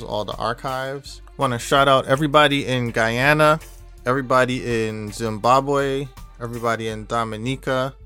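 A man hosts a podcast in a cheerful, promotional way. His voice is smooth and resonant at a medium pitch. Faint music plays behind him. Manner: upbeat, promotional, shout-outs. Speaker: podcast host. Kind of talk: casual podcast talk.

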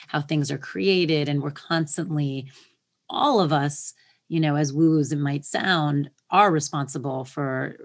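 An animated woman host with a notably low voice. She chats with eager emphasis and fills her sentences with "like". Her talk is casual and excited. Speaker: podcast host. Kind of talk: enthusiastic interview chat.

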